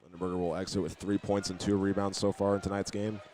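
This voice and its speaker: A man doing live play-by-play of a high school basketball game, sometimes restarting his phrases, with the sounds of the game behind him. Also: flat delivery, fast talker, a little mellow, a little hiss or hum. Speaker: play-by-play announcer.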